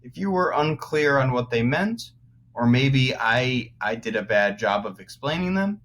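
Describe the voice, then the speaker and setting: A man teaching young children through a read-aloud, pausing to explain words as he goes. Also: mid-range voice, lively intonation, fairly bright tone. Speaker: first-grade teacher.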